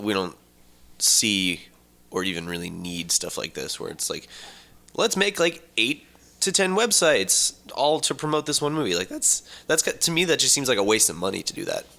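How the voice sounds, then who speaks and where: A man's voice: deep voice, creaky, sharp tone. A man co-hosting a podcast, chatting casually and giving his take. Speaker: podcast co-host. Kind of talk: casual chat.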